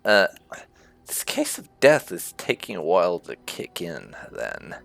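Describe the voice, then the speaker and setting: A man's voice: sits low, wildly swooping pitch, measured pace, creaky, a bit bright, soft background music. A man hosting a gaming playthrough, giving casual commentary with light roleplay. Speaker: gaming video host.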